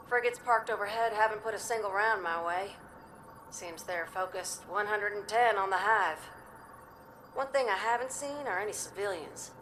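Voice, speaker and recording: A woman's voice: mid-range voice, fairly bright tone, a little hiss or hum, natural voice, rough recording. A woman playing a plainspoken pilot, giving a folksy, matter-of-fact scouting report in a video game.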